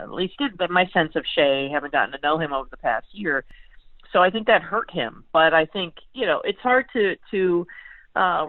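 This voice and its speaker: A woman's voice: deep voice, dry recording. A woman sports beat writer giving conversational football analysis as an interview guest, often repeating words as she gathers her thought. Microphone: phone call. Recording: tinny phone line.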